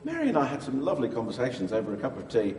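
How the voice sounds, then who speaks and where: A man's voice: mid-range voice, wildly swooping pitch, very fast, a little mellow, a little hiss or hum. A man playing an ancient Greek physician and gospel writer, chatting genially and playfully in a hesitant, interview style. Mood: chatty, earnest. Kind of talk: in-character interview for a church audience. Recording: echoey hall with long reverb, slightly muddy.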